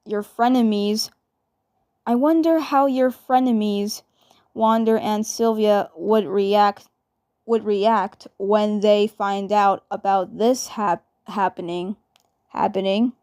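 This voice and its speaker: A woman narrating a role-play haltingly, stumbling over and repeating words. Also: on the high side, a little mellow.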